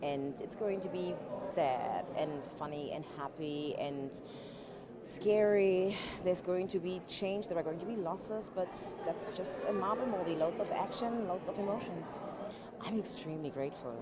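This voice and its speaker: A woman's voice: lowish voice, measured pace, a loud crowd. A woman answering press questions as a film actress. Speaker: film actress being interviewed. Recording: very noisy press area, traffic-like din.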